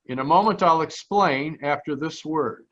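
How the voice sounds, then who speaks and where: A man's voice: fairly high pitch, fairly bright tone, muffled recording. Man narrating an old-time radio mystery. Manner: measured first-person storytelling. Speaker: radio actor narrating as a detective. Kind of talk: 1940s radio drama narration. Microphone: home microphone on a video call.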